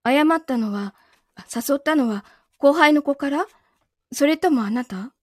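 Scary calm possessive girlfriend, audio drama, high soft taunting voice, super dry sound. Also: unhurried, no echo. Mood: cold interrogation. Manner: calm interrogating, softly taunting, sometimes whispering. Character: possessive, coolly menacing wronged girlfriend holding her lover captive. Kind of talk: Japanese audio drama acting.

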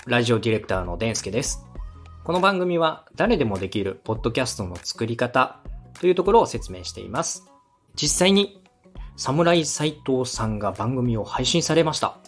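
A man's voice: mid-range voice, breathy edge. A man hosting a podcast interview as a radio director, speaking politely and encouragingly. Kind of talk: structured podcast interview with feedback.

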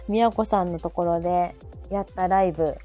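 A woman's voice: fairly low pitch, sing-song, measured pace, mellow, soft background music. A woman with a Kansai accent hosting a casual live chat, often chiming in with repeated little acknowledgements. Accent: Kansai Japanese. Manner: frequent repeated backchannels. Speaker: host of a live audio show.

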